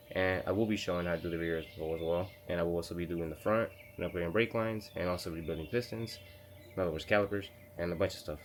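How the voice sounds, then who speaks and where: A man's voice: low voice, a little hiss or hum. A man hosting his own car-repair channel in a home garage. He is sick and losing his voice, and he talks in a casual, rambling way, correcting himself as he walks through a how-to. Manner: rambling, self-correcting, slangy. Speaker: DIY car channel host.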